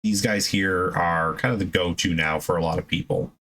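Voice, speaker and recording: A man hosting a hobby video, giving a casual, unscripted explanation in a conversational, halting way with self-corrections. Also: deep voice, steady tone, a bit bright.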